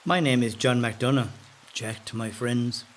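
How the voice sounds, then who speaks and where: An actor with an Irish accent playing a rebel's affable, wry brother in a first-person audio drama monologue. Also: male voice, medium pitch, moderate pace, a little hiss or hum, close to an everyday voice. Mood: affable, amused.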